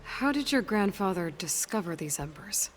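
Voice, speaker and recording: A woman's voice: mid-range voice, animated, normal speed, soft background music, lightly acted. A woman playing a practical, blunt young wanderer heroine in a video game, talking in a dry, matter-of-fact way. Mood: blunt, skeptical.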